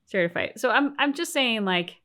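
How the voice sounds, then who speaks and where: A woman's voice: a little high, animated, normal speed, sharp tone. A woman co-hosting a game reaction channel, talking through her opinions casually and emphatically. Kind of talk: casual discussion and commentary.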